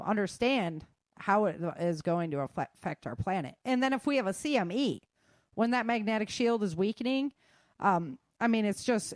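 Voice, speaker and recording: A woman's voice: mid-range voice, no echo. A woman hosting a show, running through news in an informal, slangy way with chatty asides. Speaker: host of a survival news show.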